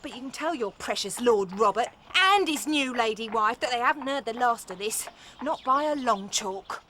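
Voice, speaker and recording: A woman's voice: on the high side, animated, moderate pace, sharp tone, a little hiss or hum. An actress playing a brash, defiant working-class woman in a radio drama.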